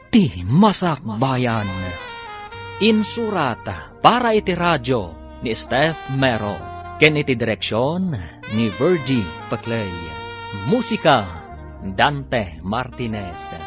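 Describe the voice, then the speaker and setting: A man narrating and announcing an Ilocano radio drama, with dramatic emphasis and an Ilocano accent. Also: mid-range voice. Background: music.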